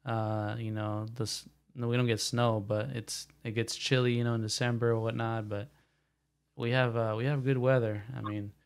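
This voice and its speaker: A man's voice: mid-range voice, little pitch movement, moderate pace, clean tone. A young man from San Jose, talking as a podcast guest in a laid-back, slangy way and slipping Spanish phrases into his English. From raised in San Jose, California. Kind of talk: casual conversation.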